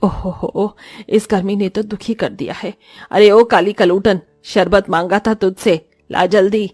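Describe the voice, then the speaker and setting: A woman's voice: medium pitch, fairly even intonation, unhurried, darkish tone, no room sound, studio quality, soft background music, lightly acted. A woman voicing a greedy, scolding mother-in-law in a cartoon story, with a taunting, melodramatic manner. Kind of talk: Hindi cartoon story voice acting.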